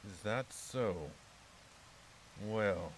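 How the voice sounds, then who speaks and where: A man's voice: fairly low pitch, extremely slow, low-quality recording. A man playing a laconic, wary keeper of a haunted house in an audio drama, with a folksy manner. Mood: dry, suspicious. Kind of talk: naturalistic audio drama acting. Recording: clearly hissy recording.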